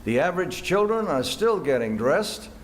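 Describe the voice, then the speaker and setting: A man's voice: fairly high pitch, very animated, moderate pace, a little hiss or hum. An older man acting in a 1940s-style radio play as a young boyfriend and announcer. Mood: genial narrating. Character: bickering teenage boyfriend and smooth radio announcer. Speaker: amateur actor and announcer. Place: live stage performance.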